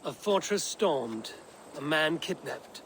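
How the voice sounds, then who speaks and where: An actor playing a plain-spoken Viking raider in a video game, wry and measured in delivery. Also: male voice, a little high, expressive, fairly bright tone, slight background noise. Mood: calculating. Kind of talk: video game dramatic acting.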